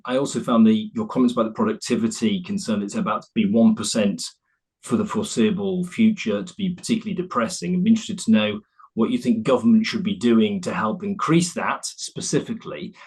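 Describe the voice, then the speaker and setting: A man's voice: fairly low pitch, a little fast, a little mellow, breathy edge. A man putting a blunt, sceptical question to a presenter in an online meeting. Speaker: audience member asking a question.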